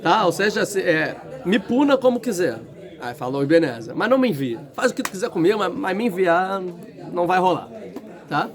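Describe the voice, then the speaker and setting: A man with a fairly high voice teaching a class in a large room. He talks casually and thinks aloud, often breaking off and restarting his sentences. Students can be heard clearly around him. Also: very animated, fairly quick. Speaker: Torah teacher. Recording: room with crowd noise.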